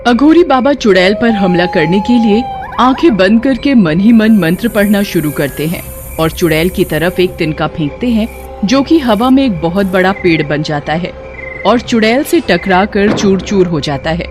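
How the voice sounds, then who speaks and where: A woman's voice: mid-range voice, lively intonation, normal speed. Woman narrating a horror story over music.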